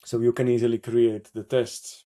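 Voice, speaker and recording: Male workshop presenter, Slovak accent, dark relaxed tone, halting and full of restarts. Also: medium pitch. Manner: halting, many fillers and self-corrections. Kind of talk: live software demo walkthrough.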